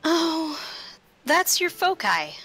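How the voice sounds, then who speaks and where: A woman's voice: extremely high pitch, very animated, extremely slow, fairly bright tone. A woman acting as game master, narrating a tabletop roleplay session in a casual, conversational way. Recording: faint music behind. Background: faint music.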